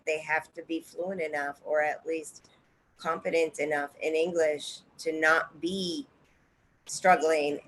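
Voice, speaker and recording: A woman speaking frankly in an informal online meeting of teacher trainers, with measured pauses between phrases. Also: fairly low pitch, a bit bright, slight background noise, rough recording. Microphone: online video call.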